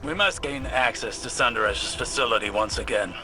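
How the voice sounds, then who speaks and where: A man playing a grave, dignified commander in a video game, formal, solemn and measured. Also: medium pitch, music in the background, natural voice. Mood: grave, resolute. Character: grave, dignified male commander advising on threat.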